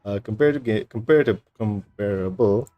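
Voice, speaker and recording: A man's voice: lowish voice, brisk, highs cut off. A man streaming games, giving casual, rambling, laid-back commentary on his play. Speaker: game streamer. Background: faint game music.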